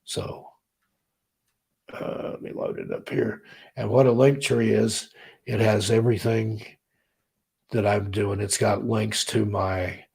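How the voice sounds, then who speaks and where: A hoarse man hosts a laid-back livestream, rambling easily and reading viewer comments aloud. His voice is deep, gravelly and very husky, worn down as he loses it. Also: flat delivery, slow pace, pauses often, darkish tone. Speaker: livestream host. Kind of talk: casual chat with viewers.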